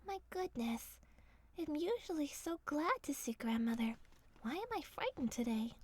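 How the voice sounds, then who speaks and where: A woman's voice: sits high, very animated, normal speed, pitched above the performer's own voice. A woman voicing an innocent, polite little girl in a fairy tale, sweet, earnest and naive. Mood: puzzled.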